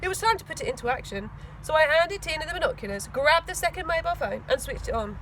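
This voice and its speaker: A woman reads a children's story in a high voice with lively, swooping pitch. She leans dramatically into key words, and there is clear noise behind her. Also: quick pace, rough recording. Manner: animated, dramatic emphasis. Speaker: school teaching assistant reading a children's book. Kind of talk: expressive storybook narration.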